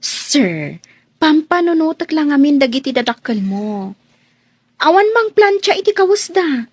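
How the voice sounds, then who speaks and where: An actress playing a shy, deferential young housemaid in a radio drama, coy, hesitant and polite. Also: female voice, high-pitched, very animated, unhurried, a little mellow, dry recording. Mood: worried, polite. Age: young adult.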